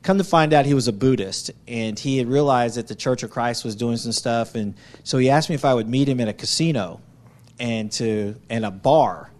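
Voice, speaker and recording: A man's voice: mid-range voice, moderate pace, darkish tone. A man giving an anecdotal church testimony as a missionary, telling it conversationally and acting out what was said. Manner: conversational storytelling, acts out quotes.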